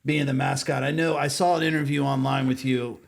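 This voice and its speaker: Male interviewer, gravelly fry, medium pitch, informal, meandering questions, conversational. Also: steady tone, brisk, a crowd in the background. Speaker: podcast interviewer.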